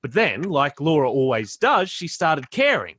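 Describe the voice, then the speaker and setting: An actor in a radio play playing a laid-back young stoner philosopher who rambles and stumbles through slangy musings. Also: male voice, on the high side, sing-song, unhurried, a bit bright, husky, no room sound, clean studio sound, heavily acted. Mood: earnest rambling.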